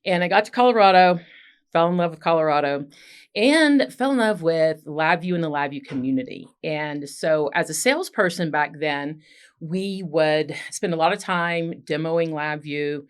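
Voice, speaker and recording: A woman in her fifties who is a podcast guest. She speaks loudly and outspokenly and tells her career story in long runs. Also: sits low, moderate pace, clear, resonant tone. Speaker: guest, software engineering leader. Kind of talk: casual podcast interview.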